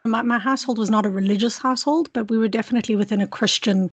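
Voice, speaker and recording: A woman's voice: fairly high pitch, racing, slightly rough, dry recording. A woman from South Africa with a South African accent, a PhD student talking candidly and thoughtfully as an interview guest. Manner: thoughtful, candid, frequent restarts. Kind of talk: conversational interview.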